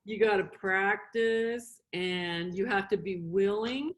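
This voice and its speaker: A woman's voice: mid-range voice, slow. A middle-aged woman giving an informal beginner lesson, explaining in short, simple steps with repetition and questions to check in. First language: English. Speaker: sign language interpreter and instructor.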